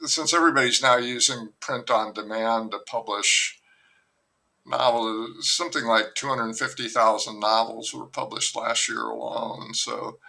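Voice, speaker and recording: A novelist talking in a relaxed interview, hesitant and rambling, with many fillers and restarts. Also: male voice, mid-range voice, unhurried, creaky. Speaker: novelist guest. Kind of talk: casual interview conversation.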